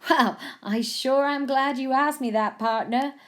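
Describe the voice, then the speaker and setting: A woman's voice: sits high, unhurried, voice pushed up. A woman voicing a young male prime minister who puts on an exaggerated cowboy drawl in a comic story, hammy and playful. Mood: boisterous.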